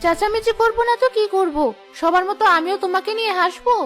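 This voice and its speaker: A woman playing a high-pitched, nagging cartoon village wife. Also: fast talker, fairly bright tone, faint music behind. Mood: angry. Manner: nagging, complaining. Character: scolding, exasperated village wife, protective of her husband. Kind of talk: cartoon voice acting.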